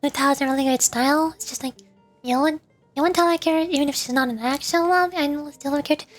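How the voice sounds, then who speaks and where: A woman chatting casually and playfully on a gaming livestream, with animated delivery. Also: high-pitched, fast talker. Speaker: VTuber streamer, host. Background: background music.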